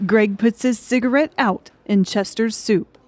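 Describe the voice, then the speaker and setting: A woman's voice: a little high, unhurried. A woman reading scripted fiction narration as an audiobook narrator.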